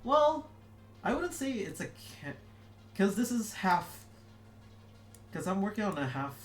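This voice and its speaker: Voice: mid-range voice, very animated, a little slow, soft background music. A young adult streamer chatting casually while drawing, rambling with lots of "like" and self-corrections. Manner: rambling, full of "like" and restarts. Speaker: streamer reading chat. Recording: roomy room echo.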